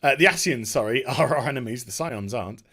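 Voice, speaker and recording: A man hosting a gaming video, giving a casual, unscripted recap in a halting, digressive way and often correcting himself. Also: medium pitch, animated, fairly bright tone, husky.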